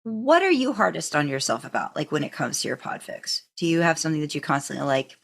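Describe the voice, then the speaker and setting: A woman chats as a podcast host with a very animated, swooping delivery. She is informal and talkative, speaking in a loose conversational style. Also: low-pitched, quick pace, creaky. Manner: chatty, informal, backchanneling. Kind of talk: casual podcast chat.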